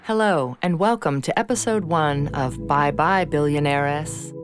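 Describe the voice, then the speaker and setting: A woman plays a middle-aged podcast presenter in a satirical audio drama, her voice pitched low over loud guitar music. She is smug and polished, narrating with an insinuating, scripted smoothness. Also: a little slow. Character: smug fifty-year-old female propaganda true-crime podcast host.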